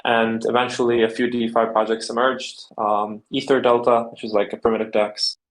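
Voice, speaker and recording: Young czech guy rambling thru an interview, lots of likes and ums, pretty monotone. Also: medium pitch, moderate pace, low-quality recording. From Czech Republic. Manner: loose, rambling, many fillers like "like" and "um". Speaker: guest. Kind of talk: casual interview answers.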